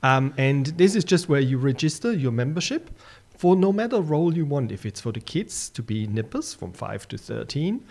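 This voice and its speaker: A man with a German accent speaks as a guest on a radio interview. His voice sits at a middle pitch, and he talks with earnest enthusiasm about his volunteer club, stumbling now and then into restarts. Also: sing-song, normal speed, vocal fry, a little mellow. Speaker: surf club secretary and media manager.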